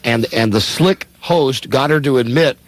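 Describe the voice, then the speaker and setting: A man hosting a radio show with casual, bossy, mock-gruff comic banter. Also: medium pitch, a little slow, background noise. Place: radio studio. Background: music.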